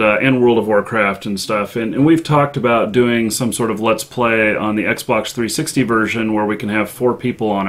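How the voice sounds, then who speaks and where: A man hosting a gaming livestream, chatting casually in a halting, hesitant way. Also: lowish voice, a bit bright. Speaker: livestream show host.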